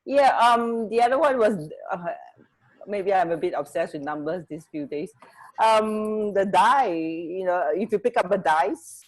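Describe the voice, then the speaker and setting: A woman co-hosting a radio show in casual chat, halting and correcting herself as she goes. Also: mid-range voice, low-quality recording. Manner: hesitant, halting, self-correcting. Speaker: radio co-host.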